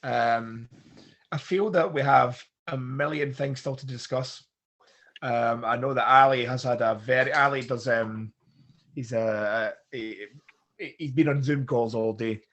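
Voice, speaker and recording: A man hosting a podcast, slightly croaky from a cold, talking hesitantly with many pauses. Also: medium pitch, a bit bright. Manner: hesitant, many ums and restarts. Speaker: podcast host. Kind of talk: casual conversation.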